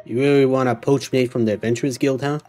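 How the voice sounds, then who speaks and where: A woman with a low speaking voice commentates casually on a game stream. She is offhand and joking, with faint music behind her. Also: steady tone, fast, warm tone. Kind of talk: casual live gameplay commentary.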